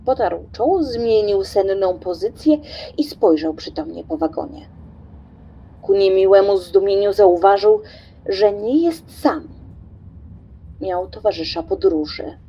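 Female narrator for radio drama: mellow, husky, medium pitch. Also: expressive, measured pace, muffled recording. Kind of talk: scripted radio play narration.

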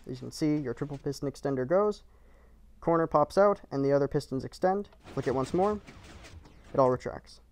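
A man's voice: fairly high pitch, fairly quick, a little mellow. A man narrating a game build tutorial in short, clipped, matter-of-fact instructions, recorded as a voice-over.

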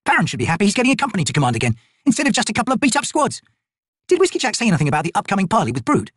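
A man's voice: high voice, huge pitch swings, racing, slightly rough, no room sound, clean studio sound, voice pushed up, exaggerated voice. A man voicing a scrappy, sarcastic army sapper in a fantasy audiobook, colloquial and needling. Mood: griping, sarcastic.

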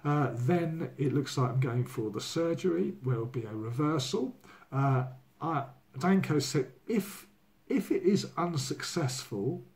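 Hoarse guy in his 60s rambling on his home vlog, lots of uhs, laptop cam in the living room. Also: fairly high pitch, expressive, unhurried, darkish tone. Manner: candid, rambling, many fillers. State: hoarse from a cold. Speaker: vlogger. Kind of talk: casual personal video diary. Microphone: laptop or phone camera at desk.

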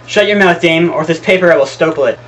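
A man playing a stern, indignant duke in amateur Shakespeare, brisk and declamatory, recorded from a distance with noise. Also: medium pitch, fairly even intonation, a bit bright, natural voice. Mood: forceful. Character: stern, commanding Duke of Albany, indignant male noble. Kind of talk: amateur Shakespearean stage acting.

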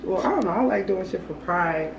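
A young woman from the Chicago suburbs, a guest on a casual vlog, talks softly and shyly with plenty of hesitant fillers inside a small apartment. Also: lowish voice, expressive, fairly quick, gravelly, muffled recording, noisy background. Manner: soft-spoken, shy, hesitant with fillers. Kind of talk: casual chat. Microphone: host's handheld camera.

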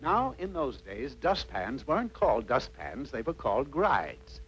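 A man's voice: medium pitch, huge pitch swings, a little slow, a bit bright, dull audio. Wry male storybook narrator, faint noise. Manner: wry, mock-dramatic storytelling. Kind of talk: scripted fairy-tale narration. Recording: faintly noisy old cartoon soundtrack.

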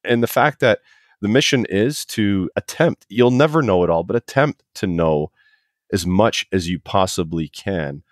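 A man's voice: low voice, measured pace, dry recording, studio quality. A man in his forties from Canada, casually telling his story as a podcast guest, rambling and self-deprecating. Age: 40s. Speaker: podcast guest, HVAC technician. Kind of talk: casual interview chat.

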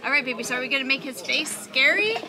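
Cheerful high-voiced mom on a farm. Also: female voice, animated, fast talker. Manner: cheerful, talks to her young son. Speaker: vlogger and mother. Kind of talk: casual family vlog narration. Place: outdoor farm and pumpkin patch. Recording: outdoor vlog with music underneath.

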